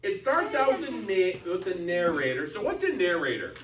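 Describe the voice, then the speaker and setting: A man's voice: high voice, very animated, normal speed. A man teaching a small reading group informally, patiently coaxing and giving instructions in a classroom. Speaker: teacher leading a reading lesson. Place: echoey classroom with children. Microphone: distant microphone. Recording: echoey, distant, noisy classroom recording.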